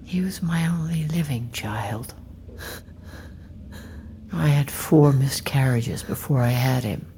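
Slow, low-voiced grieving farm widow. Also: female voice, a little hiss or hum, heavily acted. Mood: bitter grief. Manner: slow, deliberate, hushed. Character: grieving farm widow and mother, bitter, quietly menacing. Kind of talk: naturalistic audio drama acting.

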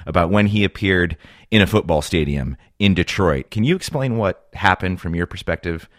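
A man's voice: low voice, normal speed, dry recording, clean studio sound. A man with an American accent working as a radio correspondent, putting questions to a guest in a broadcast interview.

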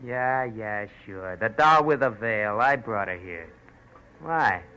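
An actor playing a nosy, slangy city cab driver in 1940s radio noir, with a streetwise manner. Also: male voice, lowish voice, expressive, takes it slowly, sharp tone, full character voice. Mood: sly, nosy. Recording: dull old radio recording.

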